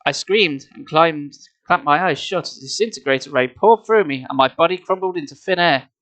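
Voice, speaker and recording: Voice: mid-range voice, a little fast, a bit bright. A storyteller reading a children's book expressively, slipping in casual, wry and mocking asides. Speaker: storyteller, live streamer.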